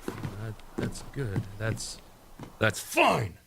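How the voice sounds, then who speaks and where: An amateur actor playing a frantic young test pilot in a radio play, urgent and pleading. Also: male voice, fairly low pitch, wildly swooping pitch, drawn-out words, vocal fry, background noise, exaggerated voice. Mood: subdued.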